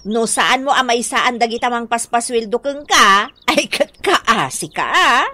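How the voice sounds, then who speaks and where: A woman's voice: a little high, expressive, unhurried, pushed and bright, dry recording. A woman with an Ilocano Filipino accent acting a bossy, haughty village treasurer in a radio drama. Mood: dismissive.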